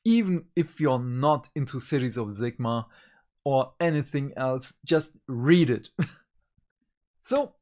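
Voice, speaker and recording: Book reviewer, slow pace, casual, emphatic, deliberate, talking to viewers. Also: medium pitch, expressive, darkish tone. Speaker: book reviewer and channel host.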